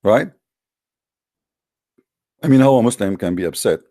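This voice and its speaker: Deep-voiced man with a non-native English accent. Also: animated, a little slow, mellow, dry recording, studio quality. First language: not English. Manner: challenging, confrontational, with rhetorical questions. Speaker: host of an online religious debate call-in show. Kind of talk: informal polemical monologue to live online audience.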